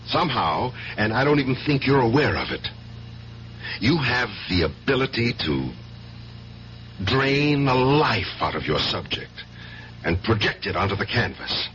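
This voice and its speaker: A man's voice: mid-range voice, big pitch swings, unhurried, a bit bright. An actor in a 1970s radio drama playing a grim, blunt policeman who is a fiercely protective brother. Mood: grave, insistent. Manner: emphatic, intense, blunt. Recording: dull vintage radio recording, faint hiss.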